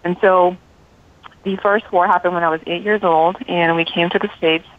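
Brief: forties woman from Liberia, calm storyteller, phone-line sound. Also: on the low side, normal speed. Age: 40s. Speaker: guest. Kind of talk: telling her life story.

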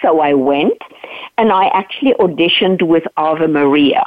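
A South African woman in her fifties, telling her life story as an interview guest, animated and earnest, often checking in with "right?". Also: low-pitched, a little slow, no room sound. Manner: earnest, animated retelling with frequent "right?" asides. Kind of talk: personal storytelling in a podcast interview. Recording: dry, tinny phone-like line.

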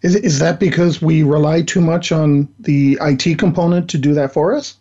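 A hoarse male talk radio host asking careful, halting questions. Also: fairly high pitch, steady tone, mellow. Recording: dull lo-fi radio recording.

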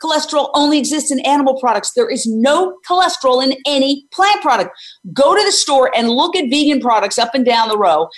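A woman's voice: high voice, animated, a little fast. A woman in her sixties hosting an interview, talking energetically and emphatically, often posing questions and answering them herself. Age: 60s. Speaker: host; former news reporter and animal rights activist. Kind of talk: energetic interview and advocacy talk.

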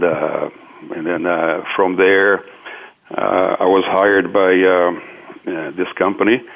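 Man, Brazilian accent, native Portuguese, hesitant interview answers, creaky voice, narrow phone-like sound. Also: sits low. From Minas Gerais, Brazil. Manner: halting, hesitant phrasing. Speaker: interview guest, businessman. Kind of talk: interview conversation, recounting own career. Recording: tinny phone-like line.

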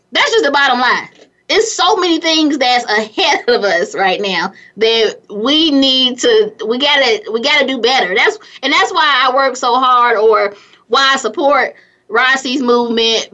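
Squeaky high-voiced chatty woman. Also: highs cut off. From California. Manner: chatty, energetic, laughs often, many restarts. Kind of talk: casual motivational chat.

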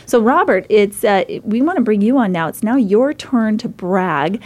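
A woman's voice: medium pitch, expressive, normal speed. Upbeat woman radio host. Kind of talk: radio interview.

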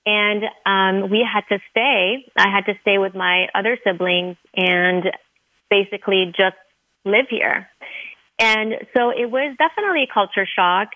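A woman's voice: medium pitch, fairly even intonation, measured pace, no room sound, narrow, tinny audio. A middle-aged woman from Lebanon, Arabic her first language, telling her story earnestly as an interview guest. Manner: earnest storytelling, many fillers. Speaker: guest, dentist. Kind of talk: interview conversation.

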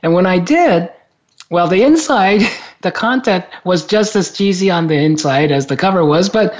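A man from Japan tells a story in easy conversational talk. His voice sits a little high and swings widely in pitch, with frequent chuckles and repeated words. The recording is dull and lo-fi. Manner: amused, laughs often, repeats words. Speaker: guest on spiritual talk show. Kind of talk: casual storytelling. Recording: dull lo-fi sound.

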